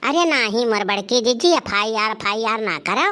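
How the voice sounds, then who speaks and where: A concerned village neighbour from a comedy cartoon, acted by a woman. She has a high, bright voice and an Awadhi accent, and she advises in a pleading, peacemaking way. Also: normal speed. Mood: concerned, advising. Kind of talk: dialect cartoon comedy acting.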